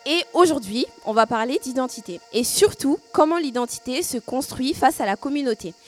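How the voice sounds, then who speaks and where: Une jeune femme née en France qui présente une émission de radio jeunesse, racontant son histoire de façon franche et expressive. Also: female voice, sits high, normal speed, soft background music. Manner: personal, candid and expressive. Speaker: host. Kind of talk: youth radio show presentation.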